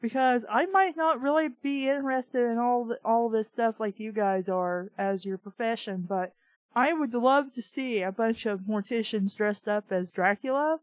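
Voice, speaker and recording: A woman's voice: fairly high pitch, normal speed, no room sound, low-quality recording. A woman reading a story aloud and breaking in with blunt, exasperated, sarcastic commentary full of swearing. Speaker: host of a bad-fiction reading show.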